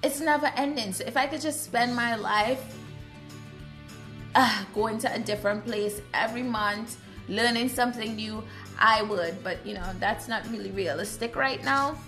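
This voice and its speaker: Young trini woman chatting to camera, lively and expressive, bit of music under it. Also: a little high, moderate pace. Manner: animated, expressive, gestures while talking. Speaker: vlogger. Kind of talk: casual chat to camera.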